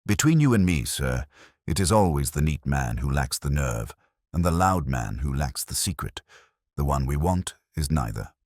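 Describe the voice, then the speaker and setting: A man voicing a patient, shrewd police inspector, speaking in a measured, quietly probing way in a detective story. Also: rumbling low, big pitch swings, no room sound, studio quality, heavily acted. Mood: knowing.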